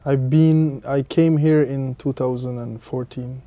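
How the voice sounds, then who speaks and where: Halting young cricketer from Guyana. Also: male voice, mid-range voice, steady tone, mellow, no room sound. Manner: halting, repeats words often. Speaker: cricketer guest. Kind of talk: interview answers.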